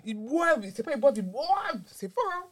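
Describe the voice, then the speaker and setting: A woman's voice: high-pitched, very animated, brisk, a crowd in the background. A woman co-hosting a podcast in casual chat, teasing her guest and laughing a lot. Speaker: podcast co-host.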